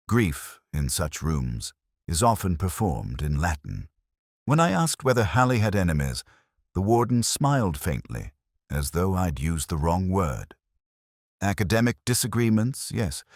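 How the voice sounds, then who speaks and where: Male narrator for a literary detective mystery: very low, husky voice, wry and measured. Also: a little mellow, no echo, clean studio sound. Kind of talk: scripted first-person detective mystery narration.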